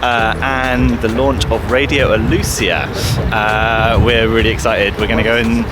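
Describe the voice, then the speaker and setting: A man's voice: on the low side, little pitch movement, a little slow, heavy background noise. A man talking casually and informally to camera as a vlogger, in an upbeat but hesitant way. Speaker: theatre director vlogging the day. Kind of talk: casual vlog talk to camera. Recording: loud music behind the voice. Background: loud music.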